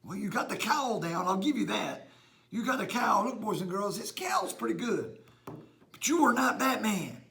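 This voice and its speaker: A boastful superhero scolds the pretenders around him in a children's church skit, as a man acts him out. The voice is high, lively and gravelly, swinging up and down as he gets worked up. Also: normal speed, heavily acted. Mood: scolding. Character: hot-headed male superhero losing his patience.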